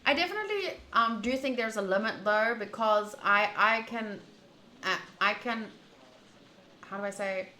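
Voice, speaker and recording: Bright-voiced woman streamer in an echoey room. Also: medium pitch, normal speed. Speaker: streamer playing a visual novel. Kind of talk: casual playthrough commentary and reading game text aloud. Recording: roomy echo, faint background noise.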